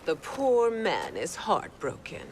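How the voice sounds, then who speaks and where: A woman's voice: medium pitch, wildly swooping pitch, slow pace, noisy background. An actress playing a cruel, haughty warrior queen in a video game, mocking and imperious. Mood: cold mockery. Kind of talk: video game cutscene acting.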